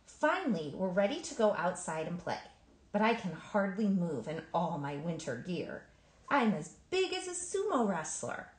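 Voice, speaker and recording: A woman reading a children's picture book aloud in an expressive, emphatic storytelling manner. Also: fairly low pitch, normal speed, reverberant, a little hiss or hum. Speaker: storybook reader.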